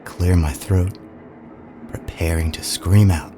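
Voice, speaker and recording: An actor playing a haunted young man who narrates a first-person audio drama in a hushed, intimate way. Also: deep voice, steady tone, slow pace, heavy background noise. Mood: uneasy, hushed. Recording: music under the voice.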